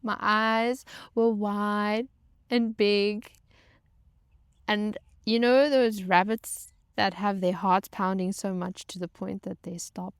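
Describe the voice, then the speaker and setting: Woman, storytelling vlogger, medium pitch, casual, comedic, dramatic emphasis, self-mocking, dry echo-free sound. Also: level tone, slow pace, clear, resonant tone. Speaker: storytelling vlogger and former yacht crew member.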